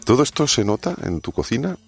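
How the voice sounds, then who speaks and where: A man's voice: medium pitch, very animated, quick pace, gravelly, breathy edge. A man co-hosting a radio show, presenting and interviewing in a conversational way.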